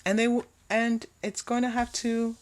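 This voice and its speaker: A woman's voice: a little high, flat delivery, normal speed. A woman doing an unscripted tarot card reading, musing aloud and repeating phrases for emphasis as she addresses the viewer. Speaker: tarot reader.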